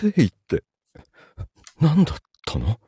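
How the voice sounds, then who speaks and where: A man playing a frightened, helpless cheating lover in a voice drama, with halting, faltering delivery. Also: a little high, wildly swooping pitch, dark tone, husky, no room sound, clean studio sound, full character voice. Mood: shaken. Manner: halting, faltering short utterances. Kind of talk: Japanese voice drama acting.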